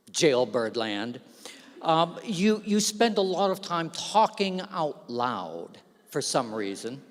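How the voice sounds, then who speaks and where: A man giving a humorous, self-deprecating speech at an awards ceremony, telling stories with lively asides into a stage microphone. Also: a little high. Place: large event hall. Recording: long reverb of a large hall.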